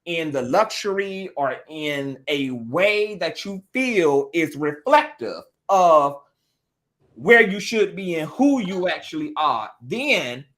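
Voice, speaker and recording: A man's voice: fairly high pitch, very animated, slow, a bit bright. A man hosting a podcast discussion, speaking with passion, often repeating words and swearing casually.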